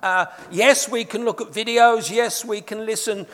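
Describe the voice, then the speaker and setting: A man's voice: fairly even intonation, moderate pace, a bit bright. A middle-aged man with an English accent, preaching earnestly to a church congregation as a guest speaker. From England. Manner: earnest, rises in pitch and volume when reading scripture. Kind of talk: sermon. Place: church hall. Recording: long hall reverb in a church.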